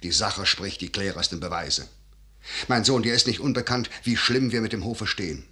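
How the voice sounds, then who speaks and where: A man's voice: lowish voice, moderate pace, bright, forceful tone. An actor playing a grave, calculating older father and general in a classical radio verse drama, with solemn, emphatic declamation. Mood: urgent, insistent.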